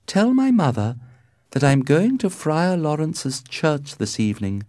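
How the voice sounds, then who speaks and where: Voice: mid-range voice, wildly swooping pitch, unhurried, a little mellow, dry recording, studio quality, pitched above the performer's own voice. A performer voicing a lovesick, distressed young noble daughter in a storybook reading, earnest and pleading. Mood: calm, resolved.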